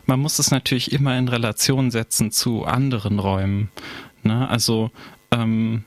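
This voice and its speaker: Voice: mid-range voice, steady tone, moderate pace, no echo. A male-sounding interview guest from Berlin with a mid-range voice, recorded dry. The speech is reflective and personal, and the words are chosen with care. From Berlin, Germany.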